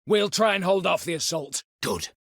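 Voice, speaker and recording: A man's voice: sits high, animated, moderate pace, vocal fry, fairly bright tone, no room sound, clean studio sound, full character voice. An actor voicing a scheming, vengeful disinherited nobleman turned heist leader in a video game, with a colloquial, wry, conspiratorial way of talking. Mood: urgent, commanding. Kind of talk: video game character dialogue.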